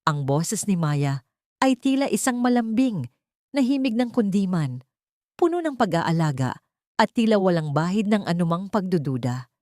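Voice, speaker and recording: A woman's voice: mid-range voice, big pitch swings, normal speed, warm tone, dry recording, studio quality. A woman narrating a scripted dramatic story as an audio storyteller.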